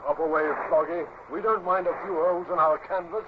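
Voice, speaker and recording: A cocky naval officer at the guns, played by a male actor, jeering and defiant in old radio drama style. The voice sits fairly high. The recording is thin and phone-like, with loud noise. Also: steady tone, fairly quick, natural voice. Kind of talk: mid-century radio drama acting.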